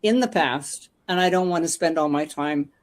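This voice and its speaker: Earnest woman in her eighties. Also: low-pitched. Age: 80s. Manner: earnest, measured, slows down when serious. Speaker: panel guest. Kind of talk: casual panel conversation.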